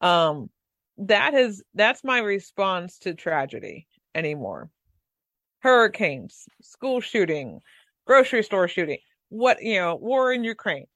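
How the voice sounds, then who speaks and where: A woman with a mid-range voice, recorded dry and close. She speaks earnestly and haltingly, often restarting a phrase before finishing the thought. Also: mid-range voice, big pitch swings, a bit bright, dry recording. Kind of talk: casual theological discussion.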